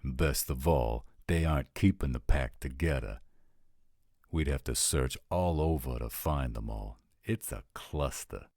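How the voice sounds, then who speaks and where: A man's voice: extremely low pitch, unhurried, darkish tone, no room sound, studio quality, heavily acted. A man playing a blunt, roguish supernatural scout who talks in casual street slang, in an audio roleplay drama. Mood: blunt, matter-of-fact.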